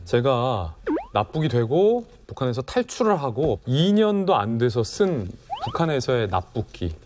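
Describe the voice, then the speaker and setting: A man's voice: mid-range voice, big pitch swings, measured pace, background noise. A man telling a story dramatically as a panelist on a studio talk show. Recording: clear background music under voice.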